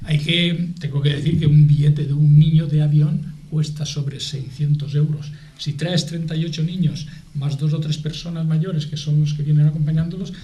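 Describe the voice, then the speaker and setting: Middle aged guy from spain explaining stuff on a tv debate, soft mellow tone, kinda halting, echoey room. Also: fairly high pitch, steady tone. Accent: Spanish from Spain. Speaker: guest, aid association spokesman.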